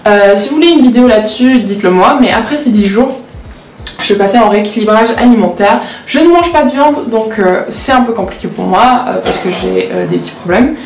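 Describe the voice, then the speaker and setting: A woman's voice: medium pitch, big pitch swings, a little fast. A 19-year-old woman with Portuguese as her first language, chatting candidly in a casual vlog, self-mocking and often hesitating. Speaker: vlogger and law student. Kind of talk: casual confessional vlog chat. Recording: roomy echo.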